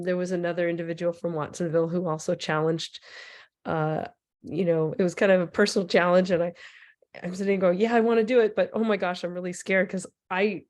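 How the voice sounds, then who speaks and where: A woman speaks in a somewhat low, raspy voice, hoarse from a cold. She gives an informal presentation in a loose, rambling way with plenty of fillers and restarts. She is heard through a computer mic on a video call. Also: a little mellow. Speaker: transit equity advocate presenter.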